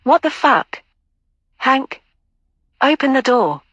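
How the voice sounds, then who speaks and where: Animated, brash young woman played by an actress. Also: high voice, slow pace, highs cut off, slight background noise, full character voice. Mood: annoyed, demanding. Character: brash, irritable young woman, twenty-seven. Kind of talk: audio play acting.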